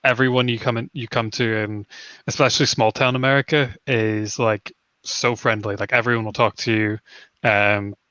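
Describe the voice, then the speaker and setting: Young man, rambling with fillers, bright tone, dull lo-fi mic. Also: on the low side, little pitch movement, normal speed, no room sound. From Northern Ireland. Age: young adult. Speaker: guest. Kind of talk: casual chat.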